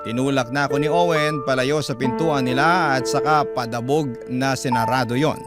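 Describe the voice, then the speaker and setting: A man's voice: mid-range voice, steady tone, normal speed. Dramatic male radio narrator over guitar. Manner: emotive, addresses the letter to the host. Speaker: narrator reading a listener's letter. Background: loud guitar music.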